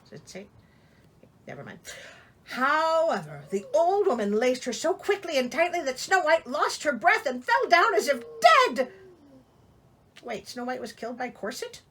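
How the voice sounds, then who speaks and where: Woman storyteller, animated intonation, bright tone, sarcastic, theatrical, comic asides. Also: sits high, moderate pace, slight background noise. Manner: sarcastic, theatrical, exasperated commentary. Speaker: storyteller, host of a reading show.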